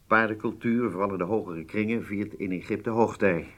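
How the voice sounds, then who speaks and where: Spy planner, radio drama: male actor as a low-voiced, shrewd man, informal and wry, confidently laying out schemes. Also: moderate pace, fairly bright tone, lightly acted. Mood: wry, teasing. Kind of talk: Dutch radio drama acting.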